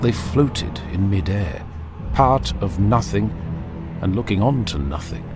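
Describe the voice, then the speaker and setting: A man narrating a dramatic audiobook in a solemn, deliberate storytelling manner. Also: fairly low pitch, animated, over music.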